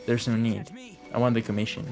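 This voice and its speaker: A man's voice: medium pitch, little pitch movement, fairly quick, vocal fry. Sweary man streaming a game over music. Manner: sweary, offhand muttering to himself while playing. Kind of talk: casual live gameplay commentary.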